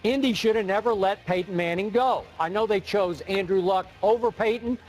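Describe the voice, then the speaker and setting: A man's voice: sits high, normal speed, faint music behind. A man arguing his opinion on a studio sports debate show, emphatic and repeating words as he presses his point.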